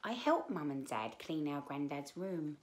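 A woman in her thirties reading aloud to children as a storyteller, expressive, with drawn-out emphasis on key words. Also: low-pitched. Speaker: children's book read-aloud presenter. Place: small living room, indoors.

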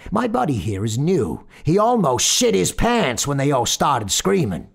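A man voicing a brash, irritated, sweary train motorman with a gruff Brooklyn accent in an audio horror story. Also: sits high, a little fast, darkish tone, pitched above the performer's own voice, natural voice. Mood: scornful.

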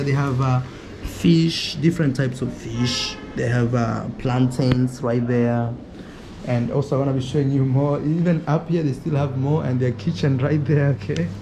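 A man's voice: medium pitch, background noise. A young man chatting casually as a vlogger in a small restaurant, playful and laughing often, filmed on a close action camera. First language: not French. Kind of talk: casual vlog chat.

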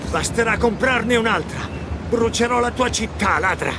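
An actor playing an arrogant, ruthless middle-aged warlord in a video game, declaiming with pompous menace. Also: male voice, very high voice, moderate pace, heavy background noise. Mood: menacing fury. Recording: loud dramatic game soundtrack behind.

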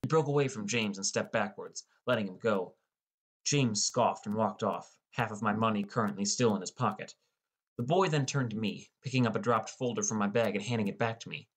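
A man with a fairly low voice narrates a first-person story. It is scripted audiobook reading. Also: a little fast, breathy edge.

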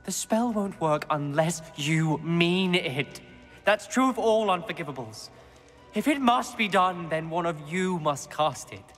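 A man's voice: on the high side, animated, unhurried, fairly bright tone, faint music behind. A man acting a guilt-ridden, principled schoolboy wizard with a British accent, reproachful and emphatic, in a video game. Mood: firm refusal.

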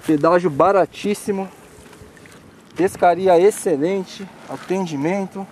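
A man's voice: high voice, slow, darkish tone, a little hiss or hum. A man in his thirties hosting a fishing show, narrating and explaining as he fights a fish from a pond bank, now and then coaxing it. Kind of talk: live commentary while fishing. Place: outdoors at a rural pond bank. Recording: outdoor rural ambience.

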